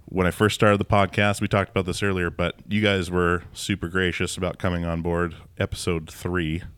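A man's voice: low voice, flat delivery, normal speed, a bit bright, clean tone, no echo. A man hosting a podcast, asking friendly, rambling questions in a casual interview, recorded indoors at a theater venue. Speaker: podcast host.

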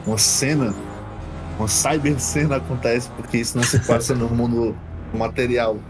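Brazilian man, game master, mid pitch, halting improvised narration, clear background music. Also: fairly even intonation, rough recording. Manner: halting, repeats words while improvising.

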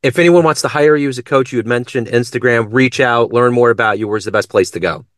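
A man's voice: mid-range voice, fast talker, no room sound, clean studio sound. A man hosting an interview show in a casual, conversational way.

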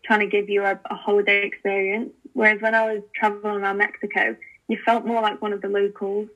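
A woman's voice: medium pitch, level tone, quick pace, fairly bright tone, muffled recording. Chatty young woman on a call. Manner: chatty, enthusiastic, lots of fillers and restarts. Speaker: podcast guest, language learning founder. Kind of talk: casual interview chat.

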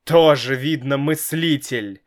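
Brief: hot-tempered father, exasperated and loud, drawn-out lines, played by a male reader. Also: fairly high pitch, big pitch swings, pitched above the performer's own voice, full character voice. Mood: agitated. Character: nervous, hot-tempered father, shouting and hissing. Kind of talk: storybook reading.

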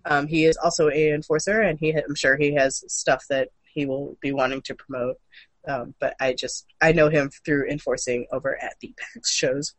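A woman co-hosting a podcast in playful, slangy chat, her voice deepened from being sick. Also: fairly even intonation, normal speed, dry recording, poor audio. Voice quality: deep for a woman. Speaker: podcast co-host. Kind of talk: casual chat.

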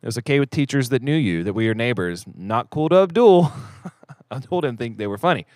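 A man's voice: medium pitch, expressive, brisk, a little mellow, no echo, clean studio sound. A man hosting a podcast in casual banter, rambling and self-deprecating, sometimes mumbling as his sentences trail off. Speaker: podcast host.

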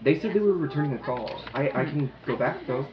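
Chill jokey shop coworker, super low lively voice, audio drama, muffled. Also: female voice, fairly quick, a crowd in the background. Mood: laid-back, joking. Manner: casual, jokey. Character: playful, laid-back woman shop coworker. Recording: muffled shop recording with busy background sounds.

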